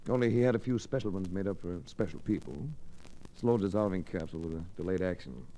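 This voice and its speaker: Guy doing an old radio private eye, cool and wry, kinda deep voice, sure of himself. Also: quick pace, mellow, lightly acted, poor audio. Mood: calm, explanatory. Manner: dry, sardonic, assured. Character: shrewd, unflappable hard-boiled private investigator hero. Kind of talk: 1950s radio detective drama acting.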